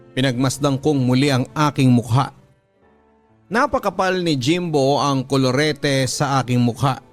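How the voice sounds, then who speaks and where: A man with a Filipino accent reads a listener's letter as dramatic scripted radio narration, solemn and deliberate. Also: mid-range voice. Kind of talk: dramatic scripted narration of a confessional love story. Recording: music bed under the voice. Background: background music.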